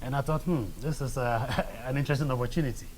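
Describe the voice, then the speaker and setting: A young Ghanaian man speaks at an ordinary male pitch, choosing his words slowly with plenty of "uh" and "you know." Faint background noise runs under his voice. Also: steady tone, poor audio. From northern Ghana. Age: young adult. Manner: measured, hesitant, many fillers and a soft laugh. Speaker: guest, documentary filmmaker. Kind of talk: interview conversation.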